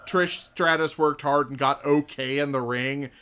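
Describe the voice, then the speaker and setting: A man's voice: on the high side, fairly even intonation, unhurried, bright, forceful tone, clear, resonant tone. A man co-hosting a podcast, chatting casually and retelling things with acted-out quotes. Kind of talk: casual chat.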